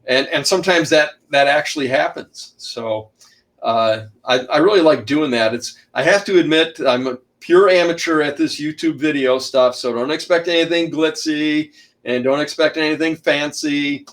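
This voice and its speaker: A middle-aged man, an author and shipwreck researcher, talking loudly as a guest in an online interview and packing in detail with many restarts. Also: moderate pace. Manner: loud, booming voice, packs in lots of detail; rambling, frequent restarts and uh fillers.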